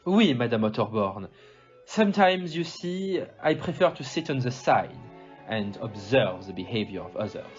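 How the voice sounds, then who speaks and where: A man playing a polite, observant gentleman detective in an audio play, with a French accent and a formal, theatrical manner. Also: medium pitch, sing-song, moderate pace, natural voice. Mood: courteous, observant. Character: polite, observant foreign gentleman detective with little grey cells. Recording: muffled audio, loud music behind.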